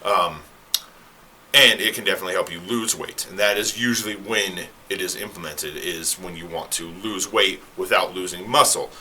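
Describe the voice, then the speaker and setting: A man who is a fitness coach, talking casually to the camera in a rambling, self-correcting way. He sounds slightly hoarse. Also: deep voice, moderate pace, sharp tone, a little hiss or hum, low-quality recording. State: slightly hoarse, clearing throat. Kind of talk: casual explainer talk to camera.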